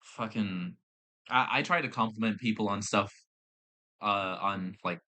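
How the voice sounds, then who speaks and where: A young man with a Southern accent who works in fast food, telling a work story in casual chat. He swears often, laughs a lot and acts out the people he quotes. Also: fairly low pitch, bright, forceful tone. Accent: American Southern. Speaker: podcast co-host.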